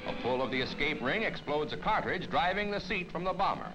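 A man's voice: on the high side, expressive, brisk, low-quality recording. An American man reading scripted 1950s newsreel narration in a dramatic, declamatory manner on a vintage film soundtrack. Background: loud orchestral newsreel music.

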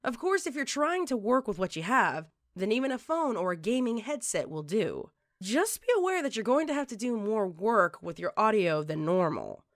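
A woman reading scripted tutorial narration in a measured, instructional way, like an online narrator presenting tips. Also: mid-range voice, sing-song, dry recording, clean studio sound.

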